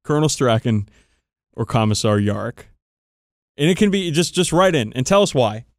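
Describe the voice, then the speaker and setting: Man, mid-pitched voice, dry studio-like sound, emphatic casual podcast chat, irreverent, sweary. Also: big pitch swings, normal speed, dry recording. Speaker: podcast co-host. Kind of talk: casual banter about tabletop wargaming.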